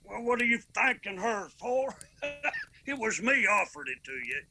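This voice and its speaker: Lazy old Appalachian codger for a radio play: high, sharp, drawn-out delivery, comic and boisterous. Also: male voice, big pitch swings, a little hiss or hum, full character voice. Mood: delighted, teasing. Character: cackling, lazy, jovial old mountain man. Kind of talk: radio drama acting.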